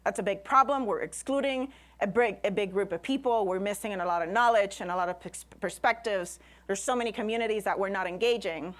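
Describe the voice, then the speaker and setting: A woman's voice: mid-range voice, a little fast. A woman from Rico, a Spanish speaker, giving a live public presentation in an emphatic way, often listing in short phrases. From Puerto Rico. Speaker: invited speaker, science communicator.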